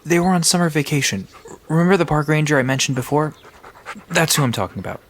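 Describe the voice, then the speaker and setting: A woman's voice: sits low, expressive, fast, slight background noise. A woman playing an easygoing, knowledgeable park guide in an audio drama, casual and reassuring as they explain things.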